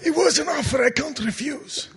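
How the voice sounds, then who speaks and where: Italian-accented preacher, joking. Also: male voice, very high voice, normal speed, a bit bright. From Southern Italy. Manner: short phrases, humorous asides. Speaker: guest preacher. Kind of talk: sermon with live interpreter.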